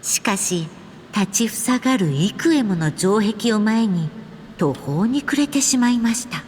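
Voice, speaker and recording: A woman playing a storybook narrator in a game, telling a fairy tale in a measured, formal way. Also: mid-range voice, expressive, darkish tone, lightly acted. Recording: long reverb, faint background noise.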